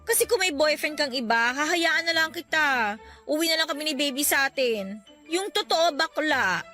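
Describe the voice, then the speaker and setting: A woman's voice: sits high, lively intonation, moderate pace, bright, forceful tone. An actress playing a persistent, playful young mother in a radio drama, nagging and coquettish, with music underneath. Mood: jealous, hurt. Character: persistent, teasing young mother in love with best friend.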